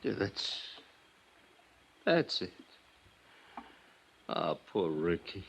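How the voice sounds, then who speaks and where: Slow-witted loyal hustler, American accent, old radio drama, emotional, repetitive, very swooping pitch. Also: male voice, very animated, slight background noise, full character voice. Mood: distraught, grieving. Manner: emotional, repetitive, mangles fancy words. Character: excitable, slow-witted, loyal small-time talent agent, male. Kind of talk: vintage American radio detective drama acting.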